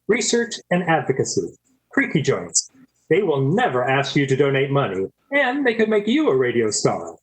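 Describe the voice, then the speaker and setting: Male old-time radio announcer: slightly high pitch, lively swooping intonation, scripted host delivery. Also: rough recording.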